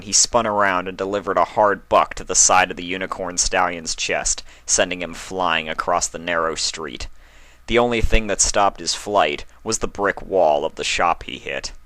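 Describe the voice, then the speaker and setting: A man narrates a scripted story in a dramatic reading style. His voice is on the low side, with a bright, forceful tone. The recording is close and dry, with little room sound. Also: no echo. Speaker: fan fiction reader, narrator.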